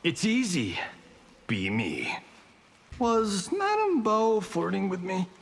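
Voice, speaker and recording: Showy jokey action star, high lively voice, smug, hall reverb. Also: male voice, slow pace, creaky, breathy edge, full character voice. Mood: wisecracking. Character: smug, wisecracking male Hollywood action star. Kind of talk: video game fight banter.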